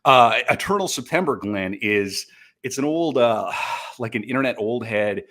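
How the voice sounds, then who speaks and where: A man's voice: medium pitch, lively intonation, normal speed, a bit bright. A man speaking as a podcast guest, telling stories casually with offhand asides.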